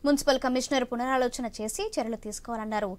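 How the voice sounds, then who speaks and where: A woman news anchor reading a scripted report in a brisk, even newsreader manner from a television studio. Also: a little high.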